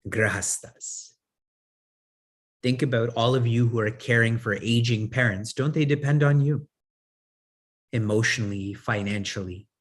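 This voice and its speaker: A spiritual teacher gives a discourse in a husky voice of middle pitch. He puts questions to his listeners and answers them himself, and he often chuckles between points. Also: unhurried, long pauses. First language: English. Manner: chuckles often while talking.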